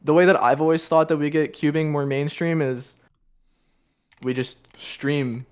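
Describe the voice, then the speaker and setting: A man's voice: fairly high pitch, fairly even intonation, unhurried, clear, resonant tone. A young man, a cubing coach from the United States, talking casually as a guest on a podcast, with many "like"s and fillers over a video call.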